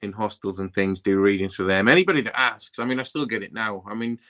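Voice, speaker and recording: Casting: easygoing young Welsh guy, lowish, bright tone, loose rambling chat. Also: steady tone, fast talker. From Wales. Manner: laid-back, rambling, lots of "you know what I mean". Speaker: tarot reader guest. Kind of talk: casual interview chat.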